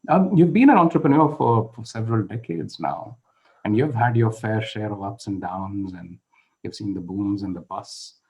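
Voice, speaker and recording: A man's voice: lowish voice, expressive, moderate pace, darkish tone, poor audio. A man hosting a podcast, asking questions and often nodding along with short replies. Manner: frequent brief backchannels. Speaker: podcast host. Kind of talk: interviewing.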